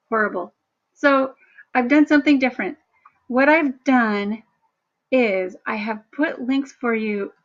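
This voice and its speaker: Chatty woman teaching a tutorial. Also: muffled recording. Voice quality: low, somewhat monotone voice. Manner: chatty, self-deprecating, rambling asides. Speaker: workshop instructor. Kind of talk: screen-share tutorial narration.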